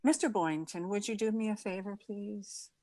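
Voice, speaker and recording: An actress playing a wry, flustered schoolteacher woman in an old-time radio comedy, halting and theatrical. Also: medium pitch, mellow, close to an everyday voice. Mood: bewildered, insistent.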